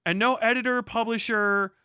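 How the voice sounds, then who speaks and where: An American man with a high-pitched, sharp and clear voice rants to his livestream. He is forceful and foul-mouthed, hammering his points and voicing imagined exchanges. The sound is clean and smooth-toned. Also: steady tone, normal speed, no room sound, studio quality. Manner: forceful, emphatic, sweary, acts out imagined dialogue. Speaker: streamer. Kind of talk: impassioned, profane livestream rant.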